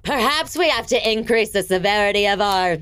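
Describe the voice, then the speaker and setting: A woman's voice: mid-range voice, animated, fairly quick, fairly bright tone, clean tone, close to an everyday voice. A woman playing a haughty, short-tempered, wicked empress with commanding theatrical delivery in a fantasy audio drama. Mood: scheming. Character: imperious, irritable, wicked fairytale empress tyrant.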